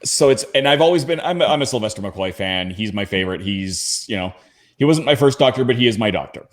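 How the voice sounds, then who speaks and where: A man's voice: fairly low pitch, animated, fairly quick. Canadian man chatting on a panel. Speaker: podcast panelist and fan. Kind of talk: casual panel chat, personal anecdotes.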